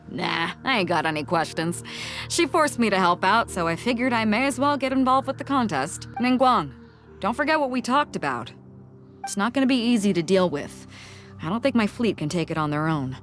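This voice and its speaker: A woman's voice: medium pitch, expressive, normal speed, a bit bright, lightly acted. Woman playing a brash, easygoing sea captain. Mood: serious. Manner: breezy, blunt, colloquial. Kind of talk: video game voice acting. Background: music.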